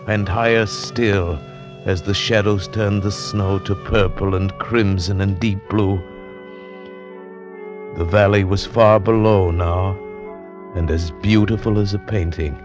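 An actor playing an earnest, yearning young man in love, who also narrates the story in an old radio drama. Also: lowish voice. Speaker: narrator and lead. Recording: lo-fi old radio recording with music.

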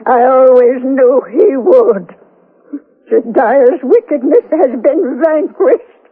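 Husky, slow-spoken fanatical old mother. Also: sits high, full character voice. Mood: fanatical fervor. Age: older. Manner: halting, terse, evasive, then fervent. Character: fanatical, guarded old mother of two grown sons. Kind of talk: old-time radio drama acting. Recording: tinny, phone-like old radio broadcast.

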